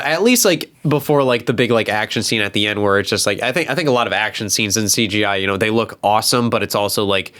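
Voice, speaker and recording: A man's voice: lowish voice, fairly bright tone. A man in a casual panel chat, speaking in a rambling, conversational way. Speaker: talk show panelist.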